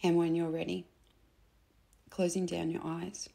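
A woman guiding a meditation in a soft, gentle, unhurried way, with phrase endings that turn breathy. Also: on the low side, flat delivery. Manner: gentle, unhurried, instructing softly with pauses. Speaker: meditation guide. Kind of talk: soothing guided meditation narration.

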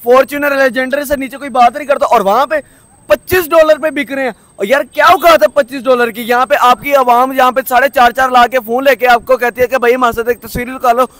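A man's voice: extremely high pitch, fairly even intonation, quick pace, a bit bright, dry recording. A young man speaking with scorn and indignation in a night-time street interview, into a handheld mic. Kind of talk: street vox pop. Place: city street at night, outdoors.

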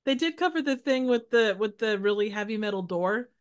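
A podcast guest chatting casually, playful and quick to laugh. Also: mid-range voice, expressive, clean tone.

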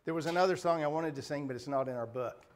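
A middle-aged man preaching a sermon in a small church, slightly hoarse, earnest and halting, clearing his throat often. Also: on the high side. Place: small wood-paneled church, indoors. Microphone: pulpit microphone at a distance.

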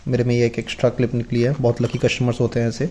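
Soft-spoken man demonstrating gear. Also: mid-range voice, monotone, racing, slight background noise. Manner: explains step by step while handling gear. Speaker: motovlogger reviewing gear. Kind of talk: casual unboxing demo. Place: indoors at a table, off camera.

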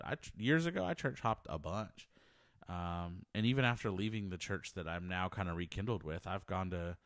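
Man, very deep voice, earnest, rambling, podcast host, close handheld mic, dry sound. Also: dry recording. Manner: earnest, rambling, repeats words and restarts often. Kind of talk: personal faith podcast monologue. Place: small home office. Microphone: handheld dynamic mic close to mouth.

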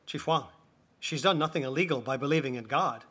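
A man's voice: medium pitch, brisk, fairly bright tone, husky. A man playing an earnest, protective husband under questioning in a dubbed stage drama, polite but firm. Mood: polite, defensive.

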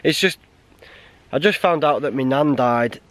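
An English man in his thirties talking to camera outdoors on a windy mountain, subdued and halting with many restarts. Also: medium pitch, fairly bright tone, dry recording. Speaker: hiking vlogger. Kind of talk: casual talk to camera. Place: outdoors on a windy winter mountain, sheltering behind a stone wall. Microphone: camera held close at arm's length. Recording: wind noise on the mic outdoors.

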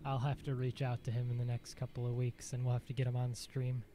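A man streaming a game, chatting casually in a dry, monotone voice, rambling with fillers and self-corrections. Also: medium pitch, brisk, background noise. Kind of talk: casual live-stream chat.

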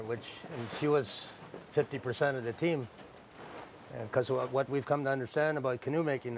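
A middle-aged man explaining his craft informally, in a plain-spoken, halting, reflective way, as a traditional builder. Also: mid-range voice, slight background noise. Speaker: traditional canoe builder and knowledge keeper. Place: outdoors under a park tent.

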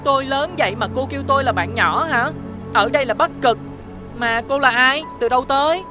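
Woman as a high-voiced, frank young boy. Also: a little fast, music in the background, close to an everyday voice. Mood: sceptical. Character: frank, forgetful young boy in snowy fairy tale. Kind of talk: animated fairy-tale dubbing.

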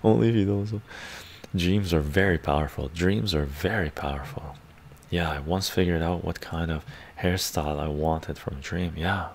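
A middle-aged man with a Canadian accent hosting a livestream, chatting casually in ASMR style in an unhurried, rambling way with frequent "right?" tags. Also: deep voice. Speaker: livestream host. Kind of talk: casual ASMR chat, answering viewers. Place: small book-lined room at home.

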